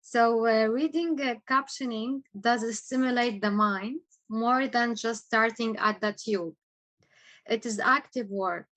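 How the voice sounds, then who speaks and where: Lady interviewer, kinda high voice, asks questions a bit haltingly, short agreeing replies. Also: fairly bright tone. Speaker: show host, interviewer.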